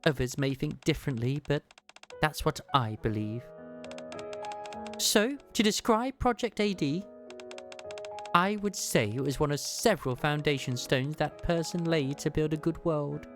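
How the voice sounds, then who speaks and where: A man voicing an ancient, knowing woman from a video game, who recalls things fondly in measured, formal speech. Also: a little high, animated, soft background music. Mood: fond, earnest. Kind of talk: read-aloud video game dialogue.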